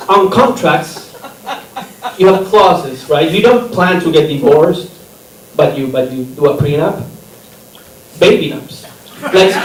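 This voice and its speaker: A man from Chile, performing a stand-up comedy set in a rambling, self-interrupting way. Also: high-pitched. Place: comedy theater stage. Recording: echoey room from a distance, audience laughter.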